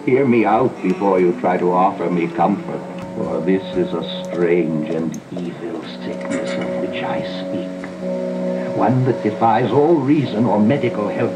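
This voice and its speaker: A man playing a sickly, melancholy old aristocrat in a gothic audio drama, halting and theatrical, recorded on an old cassette. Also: deep voice, fairly even intonation. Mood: grave, pleading. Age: older. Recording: dull old cassette dub with music. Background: music.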